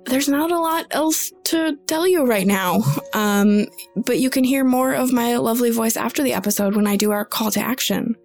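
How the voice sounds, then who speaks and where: A woman's voice: mid-range voice, vocal fry, darkish tone. A woman co-hosting a tabletop game podcast, talking chattily with many ums and breaking into laughs. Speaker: podcast co-host. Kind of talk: casual podcast intro and chat.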